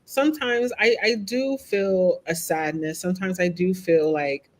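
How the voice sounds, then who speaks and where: A woman's voice: lowish voice, a little slow. A woman speaking as a podcast guest, talking openly and reflectively, often checking in with "right?". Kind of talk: candid conversational interview.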